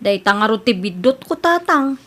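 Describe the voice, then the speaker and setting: A woman's voice: on the high side, sing-song, normal speed, fairly bright tone. A woman with an Ilocano accent playing an evasive younger woman short of money, wheedling in a comedy radio drama. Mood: pleading.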